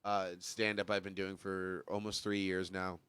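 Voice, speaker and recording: A man's voice: low voice, flat delivery, moderate pace, a bit bright, clean tone, no room sound. A young man from Michigan, a stand-up comic guesting on a podcast, chatting casually with a congested voice and joking, rambling, laughing often.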